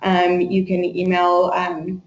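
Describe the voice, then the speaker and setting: A woman's voice: fairly low pitch, completely flat delivery, slow. A woman giving an informational webinar presentation as an admissions presenter, explaining with frequent hesitations. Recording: echoey room, muffled webinar audio.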